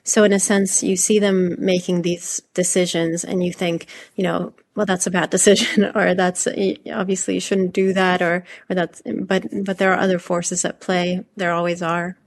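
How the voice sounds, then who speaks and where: Low, creaky-voiced woman novelist, fairly even. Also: normal speed, few pauses. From Hungary. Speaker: novelist being interviewed. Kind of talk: conversational literary interview.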